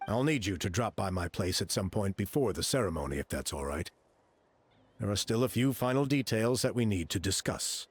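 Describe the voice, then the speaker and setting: Guy doing a formal earnest tribe official for a video game, kinda deep, confident, lively intonation. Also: fairly quick, background noise, natural voice. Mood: grateful.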